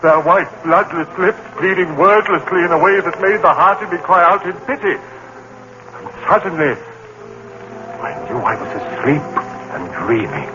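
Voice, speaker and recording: A man's voice: high-pitched, expressive, normal speed, over music. An actor playing an accused murderer, a genteel biology teacher, speaking his thoughts in 1930s radio drama style. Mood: brooding. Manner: brooding inner monologue, genteel and formal. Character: haunted male murder suspect, biology teacher. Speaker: lead actor. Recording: dull old radio broadcast with hiss.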